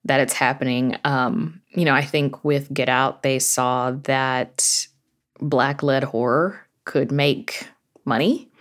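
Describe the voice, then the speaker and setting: A woman from the United States talking informally as an interview guest, telling things in a chatty, animated way with quoted asides. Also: a bass voice, slow. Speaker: podcast guest, horror fiction creator and media reviewer. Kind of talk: casual conversational interview.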